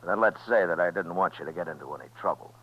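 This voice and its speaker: An actor playing a steady, wry Old West marshal in a 1950s radio western, with an American accent and a dry, laconic delivery. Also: male voice, sits low, fast. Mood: cool, firm. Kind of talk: 1950s radio western acting with first-person narration. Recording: muffled 1950s radio recording with music.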